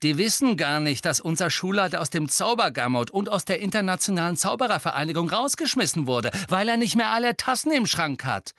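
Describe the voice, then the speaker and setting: A man's voice: sits high, expressive, a little fast, hardly any pauses, a bit bright, no echo, studio quality, voice pushed up. A man voicing an indignant, hot-tempered schoolboy in an audiobook, snapping scornfully. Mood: snappy. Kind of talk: audiobook character voice.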